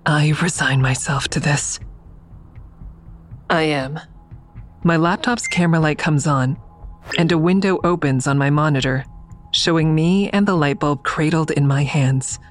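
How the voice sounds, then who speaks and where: An actor playing an anxious narrator who recounts a tense ordeal in measured first person, in an audio drama. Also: mid-range voice, faint music behind, close to an everyday voice. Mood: resigned dread.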